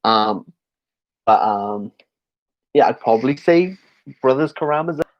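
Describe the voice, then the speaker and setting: A man's voice: mid-range voice, animated, a little slow. Tipsy, rambling bookish man on stream. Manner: rambling, chatty, many fillers and restarts. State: a little tipsy. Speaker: book channel host. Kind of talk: casual livestream Q&A. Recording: slightly dull lo-fi stream audio.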